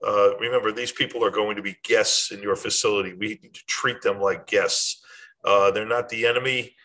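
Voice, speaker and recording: A man's voice: on the low side, fairly even intonation, normal speed, pushed and bright, poor audio. An older man hosting a short advice series, talking informally and instructively in a plainspoken way straight to the listener. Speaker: host of a short athletic administration advice series. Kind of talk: informal talk to camera, instructional.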